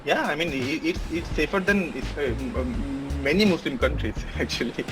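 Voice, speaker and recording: Man, from Bangladesh, podcast guest, halting speech, lots of fillers, music in background. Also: mid-range voice. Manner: hesitant, many fillers and restarts. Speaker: podcast guest, software engineer. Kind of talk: casual interview answers.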